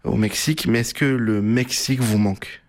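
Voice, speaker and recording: A man's voice: medium pitch, fairly even intonation, normal speed, darkish tone. A man hosting an interview and asking polite, formal questions, with some fillers. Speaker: interviewer, host.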